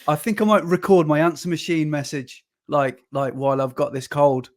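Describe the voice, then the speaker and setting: Hoarse, jokey man under the weather. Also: on the high side, normal speed. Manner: jokey, animated, playful. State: hoarse and deeper than usual from illness. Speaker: podcast co-host. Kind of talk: casual chat.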